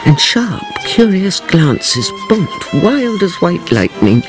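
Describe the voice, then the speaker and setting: Slow, expressive, grave woman narrator. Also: on the low side, over music. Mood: tense, vivid. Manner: dramatic, deliberate, weighty phrasing. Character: grave woman narrator haunted by her family's murder. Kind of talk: dramatic first-person narration in radio drama.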